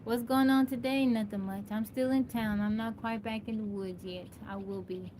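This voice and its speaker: A woman's voice: fairly high pitch, fairly even intonation, moderate pace. A woman from Texas hosting a casual live stream, greeting viewers by name and answering their questions. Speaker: livestream host. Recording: noisy live-stream audio.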